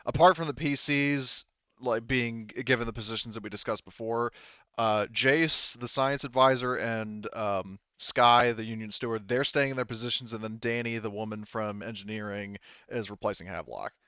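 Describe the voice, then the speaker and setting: Guy gm-ing a tabletop game, rambly casual explaining, smooth voice, kinda dull dry mic. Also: medium pitch, moderate pace, bright, forceful tone, dry recording. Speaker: game master.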